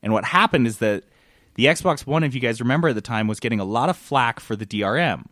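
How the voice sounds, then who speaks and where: A man with a medium-pitched voice hosts a casual gaming podcast. He talks in an explanatory way, slipping into quotes as he makes his points, and the sound is dry with no room echo. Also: animated, quick pace, a bit bright. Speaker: podcast host, games journalist.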